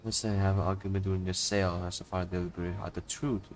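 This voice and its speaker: A man's voice: sits low, level tone, fast talker, very noisy. A man giving casual commentary as he plays a video game. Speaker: player.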